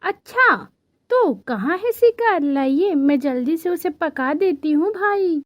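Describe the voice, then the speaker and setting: A woman's voice: very high voice, lively intonation, slow, no echo, exaggerated voice. A woman voicing a trusting, dutiful young sister crow in a children's cartoon, sweet and concerned. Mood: cheerful, caring. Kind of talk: Hindi children's cartoon dialogue.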